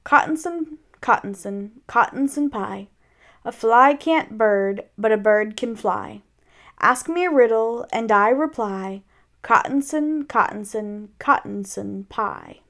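A woman's voice: on the high side, sing-song, unhurried, a bit bright, exaggerated voice. A woman voicing a simple, kindly male storybook bear with an innocent, puzzled, childlike sing-song manner. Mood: singsong, content.

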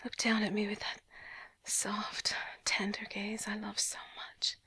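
A woman in an intimate audio roleplay, whispering breathily with soft sighs and slipping into French phrases. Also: mid-range voice, completely flat delivery, unhurried, slightly rough.

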